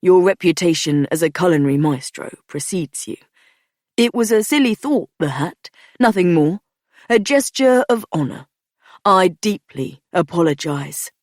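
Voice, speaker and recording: A short male head cook fusses over his guests with eager, soothing flattery, as a woman narrator puts him on. The voice sits lower and a touch louder, with a dry, close sound. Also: measured pace, no echo.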